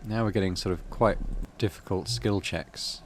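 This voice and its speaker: A man's voice: sits low, moderate pace, a little hiss or hum. A middle-aged man hosting a game playthrough, commenting casually and reading the story text aloud. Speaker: gaming video host.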